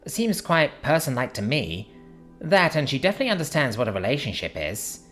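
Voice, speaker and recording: A dry, sarcastic young woman who sounds unimpressed by everything, voiced plainly by a man reading. She answers in clipped, even remarks. A little music hums faintly underneath. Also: medium pitch, fairly quick, pitched above the performer's own voice.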